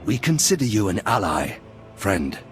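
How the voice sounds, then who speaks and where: A loyal Sith guard with a very low voice. He speaks firmly and respectfully, in the formal register of a game cutscene. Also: big pitch swings, normal speed, over music. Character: dutiful, firm male Sith guard. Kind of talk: video game cutscene acting.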